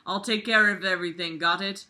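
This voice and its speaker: A woman's voice: medium pitch, steady tone, a little fast, bright, forceful tone. A woman voicing a stern, authoritative male school principal from a novel, in a commanding, declamatory manner. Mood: curt, commanding.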